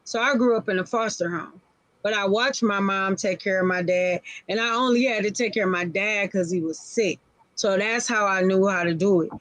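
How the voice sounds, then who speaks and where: A woman's voice: mid-range voice, normal speed, fairly bright tone, dry recording. A woman in her forties speaking frankly on a casual live-stream panel, in short, repeated phrases. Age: 40s.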